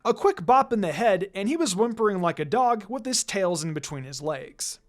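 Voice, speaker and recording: A narrator reading fan fiction aloud in an expressive, scripted storytelling style. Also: mid-range voice, normal speed. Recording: music behind the voice. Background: background music.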